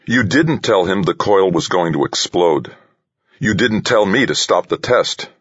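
Man playing an earnest, husky, insistent smaller guy. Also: sits low, animated, unhurried, no room sound, highs cut off, pitched above the performer's own voice, full character voice. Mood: accusing. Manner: earnest, soft-spoken but insistent. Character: smaller, brainy man, earnest, placating but stubbornly insistent. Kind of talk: audiobook character voice.

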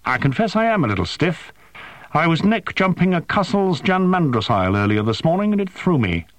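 A man's voice: on the high side, very animated, brisk, dry recording, pitched above the performer's own voice. A man voicing a languid, stubborn male composer in an audiobook, drawling with dry sarcasm. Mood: wry. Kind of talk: audiobook character voice.

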